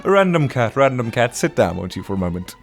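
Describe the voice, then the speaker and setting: A man's voice: medium pitch, very animated, fairly quick, vocal fry, faint music behind. A man voicing a haughty, sardonic butler who condescendingly roasts submissions in a theatrical, pompous way. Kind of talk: theatrical comic roast reading.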